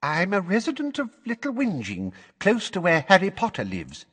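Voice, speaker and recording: A frail, batty old woman played by a male narrator in an audiobook, high and quavery. She sounds scared and flustered, her husky voice wavering in long reverb. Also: wildly swooping pitch, moderate pace, fairly bright tone, full character voice. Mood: nervous. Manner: gabbling as if reciting by heart.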